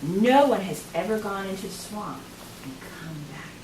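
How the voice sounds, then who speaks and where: A woman voicing a desperate, weeping old male farmer in a told folk tale, wailing high and breaking into sobs. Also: expressive, normal speed, close to an everyday voice. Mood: pleading. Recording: echoey room, far from mic, noisy.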